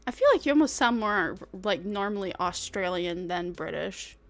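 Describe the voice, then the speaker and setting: A young woman from the United States chatting casually as a guest on a stream, animated and quick to laugh loudly. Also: mid-range voice.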